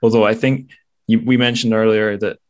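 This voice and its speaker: A man's voice: fairly low pitch, steady tone, normal speed, dry recording, muffled recording. A man in his twenties hosting a podcast, explaining things casually in an informal, wry and self-deprecating way. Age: 20s. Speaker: podcast host.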